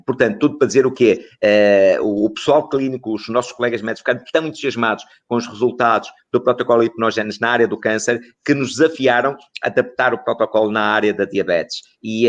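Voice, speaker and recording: A middle-aged man, explaining as an expert guest in an interview, in a didactic way with rhetorical questions and repeated phrases. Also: fairly low pitch. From northern Portugal.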